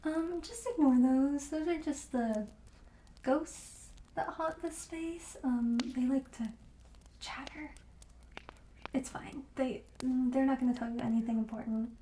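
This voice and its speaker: A mellow, soft-spoken witch shopkeeper, acted by a woman, gently welcoming and a little hesitant, slipping into whispers. She takes her time over each phrase in an ASMR roleplay style. The room adds some echo, with faint noise behind her. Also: high voice, fairly even intonation, slow pace. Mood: hesitant, apologetic. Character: friendly, soothing witch shopkeeper selling curious wares.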